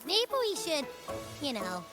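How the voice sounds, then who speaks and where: High-pitched, cheeky little glutton creature. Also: female voice, wildly swooping pitch, slow pace, music in the background, full character voice. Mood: gleeful. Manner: playful, casual, exaggerated reactions. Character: small, gluttonous, cheeky, carefree female companion creature. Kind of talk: video game voice acting.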